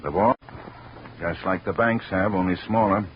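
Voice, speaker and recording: An actor playing a gruff, matter-of-fact police lieutenant in a 1950s radio detective drama. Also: male voice, deep voice, normal speed, lightly acted. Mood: grudgingly impressed. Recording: muffled old radio recording, faint noise.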